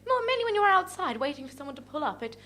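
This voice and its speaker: A woman's voice: high-pitched, sing-song, very fast, bright, forceful tone, full character voice. A woman playing a flustered, giddy young car parker in an improvised comic radio play, stammering and fluttery with theatrical politeness. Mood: weary, complaining.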